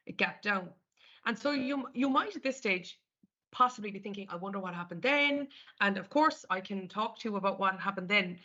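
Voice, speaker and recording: A woman's voice: medium pitch, sharp tone. A woman with an Irish accent presenting a live market webinar, chatting her way through each screen step by step. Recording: dull lo-fi webinar audio.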